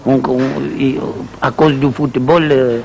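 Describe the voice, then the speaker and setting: A man's voice: mid-range voice, fairly even intonation, takes it slowly, a little mellow, a little hiss or hum, poor audio. A man born in Italy, a volunteer speaking plainly and unhurriedly in an interview. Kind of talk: casual interview.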